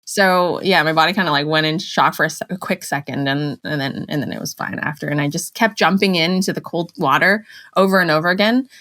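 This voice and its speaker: A woman's voice: low voice, a little fast, fairly bright tone. Chatty young woman telling stories. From Canada. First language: Cantonese. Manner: chatty storytelling, laughs often, many fillers. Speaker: actress guest. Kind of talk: casual interview chat.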